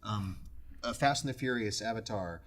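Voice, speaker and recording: A man's voice: lowish voice, moderate pace, fairly bright tone. A man hosting a casual podcast discussion in a jokey, wry manner, moving it along with his opinions. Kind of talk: casual chat, film opinions.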